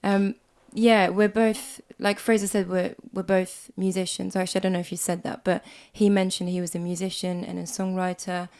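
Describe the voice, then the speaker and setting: A woman in her twenties from Switzerland with a slight Swiss accent, co-hosting a casual podcast chat at home, a little croaky from a cold and quick to laugh. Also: fairly low pitch, flat delivery. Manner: chatty, warm, laughs often. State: hoarse from a cold. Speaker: podcast co-host. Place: small music room at home.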